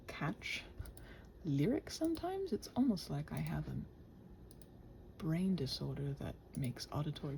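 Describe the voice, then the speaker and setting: Woman, casual rambler, slightly low voice with plenty of fry, muttering and whispering to herself, playfully sarcastic, faint noise behind. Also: dark tone. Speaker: ASMR and audio channel creator. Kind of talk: casual rambling body-doubling chat to listeners.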